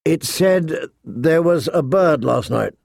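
A man's voice: on the high side, moderate pace, no echo, studio quality, lightly acted. A man voicing a hesitant, brooding young man who speaks in a subdued way.

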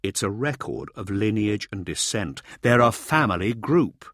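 A man voicing a matter-of-fact, quietly authoritative male expedition leader in an audiobook, measured, firm and a little impatient. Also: on the low side, lively intonation, no echo, clean studio sound. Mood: firm, explanatory. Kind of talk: audiobook character dialogue.

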